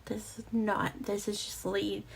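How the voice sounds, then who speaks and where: Raspy young woman, halting storyteller. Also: mid-range voice, flat delivery. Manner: halting and reflective, many fillers and restarts. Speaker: vlogger. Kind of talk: personal storytelling to camera. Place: outdoors or near a street with passing cars. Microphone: close mic.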